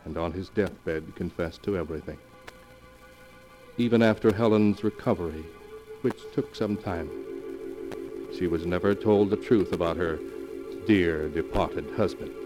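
A man's voice: deep voice, unhurried, rough recording. A man narrating and announcing a 1940s radio mystery drama, on an old broadcast recording. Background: music.

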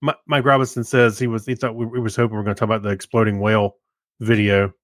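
A man's voice: lowish voice, flat delivery, quick pace, no echo, clean studio sound. A middle-aged man co-hosting a podcast, chatting casually and telling stories from everyday life. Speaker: podcast co-host. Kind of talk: casual chat with storytelling.

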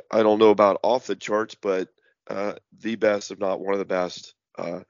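A middle-aged man with a hoarse voice, hosting a podcast in a rambling way with many fillers and restarts. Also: lowish voice, a little mellow, no echo, lo-fi sound. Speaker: podcast host. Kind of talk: casual podcast monologue.

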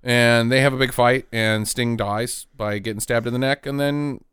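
A man in casual, profane conversation, recapping a movie with friends and acting out characters in quick jokey asides. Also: medium pitch, fairly even intonation, a bit bright, smooth, dry recording, studio quality.

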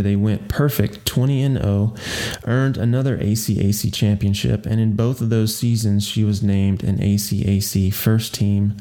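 A man hosting a podcast, introducing his guest and then interviewing her in a relaxed way, often murmuring agreement. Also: lowish voice. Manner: relaxed, frequent affirming murmurs. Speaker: podcast host. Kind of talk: casual interview.

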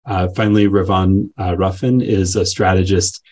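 A man hosting a panel discussion, giving a semi-scripted introduction in a measured way with hesitant pauses. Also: deep voice, flat delivery, a little mellow. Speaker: host, moderator introducing a panel.

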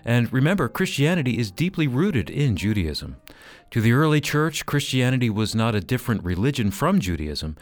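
Male announcer for scripted radio promos, highly varied intonation, close and dry recording. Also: very animated, normal speed, no room sound.

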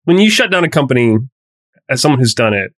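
A man's voice: medium pitch, very animated, quick pace, a bit bright, dry recording. A man guesting on a casual panel podcast as a startup founder, blunt and emphatic, swearing freely.

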